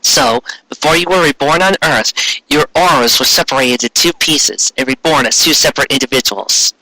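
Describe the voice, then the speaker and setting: Guy doing a regal ghost queen, formal explaining, bright and real dry. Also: medium pitch, fairly quick, no room sound, poor audio. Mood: solemn. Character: regal female ghost of moon queen, solemn.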